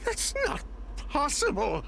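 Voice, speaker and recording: A man's voice: very high-pitched, huge pitch swings, takes it slowly, creaky, background noise, heavily acted. An actor playing a grieving, fragile young merchant in a video game, crying as his voice breaks. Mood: grieving denial. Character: grief-stricken young man, merchant, desperate and fragile.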